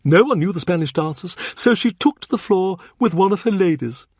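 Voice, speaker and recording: A man's voice: sits high, lively intonation, brisk, darkish tone, breathy edge, no echo, clean studio sound, exaggerated voice. A man voicing an urbane, shrewd older cardinal who reminisces in a genial, confiding way. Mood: animated. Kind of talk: audiobook character reading.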